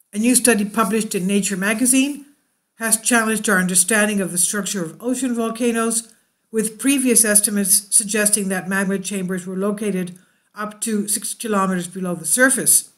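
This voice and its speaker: A woman hosting a science news channel, reading an article aloud and breaking off into asides with fillers and self-corrections. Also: mid-range voice. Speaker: YouTube news host.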